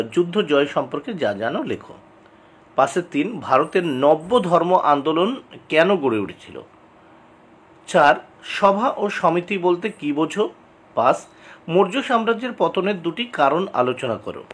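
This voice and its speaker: Husky man tutor, swinging pitch. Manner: instructional, addresses students directly; deliberate, repeats key answers. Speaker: exam tutor. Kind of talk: reading out exam questions and answers as a lesson.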